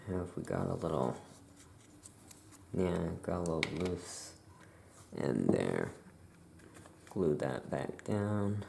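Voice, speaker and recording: A soft-spoken ASMR creator musing to themselves while doing crafts, with writing sounds and faint noise behind. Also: low-pitched, animated, slow pace, creaky, long pauses.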